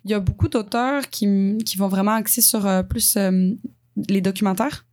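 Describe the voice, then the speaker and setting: Young Quebec woman, hesitant and searching, mid-pitched voice. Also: steady tone, normal speed, a little mellow. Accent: Quebec French. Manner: hesitant, many fillers and restarts. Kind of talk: casual discussion of a children's book project.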